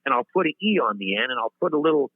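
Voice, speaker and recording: A man's voice: mid-range voice, big pitch swings, rapid-fire, breathy edge, no echo. A man in his fifties tells long, winding stories as an interview guest, often breaking off and starting his sentences again. His voice comes through a thin, phone-like line with a very dry sound and no room around it. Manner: rambling, self-interrupting, laughs at himself. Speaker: singer-songwriter guest. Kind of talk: storytelling interview chat. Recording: tinny phone-line audio.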